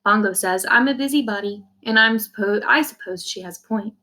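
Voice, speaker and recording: A woman's voice: medium pitch, fairly quick, pushed and bright. A woman reading a children's novel aloud like a teacher, expressively, in the first person, with simple explanations for young listeners. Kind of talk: read-aloud storytelling with brief explanations.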